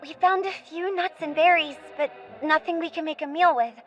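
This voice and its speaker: A woman's voice: very high-pitched, a little fast, muffled recording, music in the background. A woman playing a bold, trusting young girl adventurer in an audio drama, eager and headstrong.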